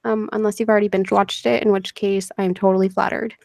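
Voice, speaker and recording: A woman hosting a casual livestream interview, her voice hoarse and scratchy as she chats and giggles. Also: mid-range voice, little pitch movement, quick pace, darkish tone, no echo, studio quality. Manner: chatty, giggly, reads chat messages aloud. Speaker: livestream host interviewing a guest.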